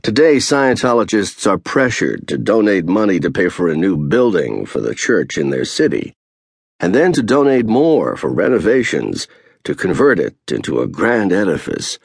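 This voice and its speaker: A male audiobook narrator reads a first-person memoir in a low, gravelly, slightly husky voice. His delivery is measured and earnest, moving through wide swings of pitch and slowing to lean on key phrases. The sound is close and dry, with no room around it. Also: very animated, no echo.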